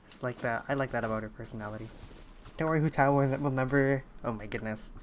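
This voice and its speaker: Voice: mid-range voice, quick pace, a little hiss or hum. A game streamer chatting casually on a livestream, hesitant and rambling while thinking aloud. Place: indoors at a streaming setup.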